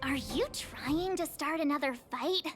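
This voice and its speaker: A woman's voice: high voice, measured pace. A woman playing a perky, outspoken teenage girl adventurer in a video game, breezy and emphatic. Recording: game music under the voice.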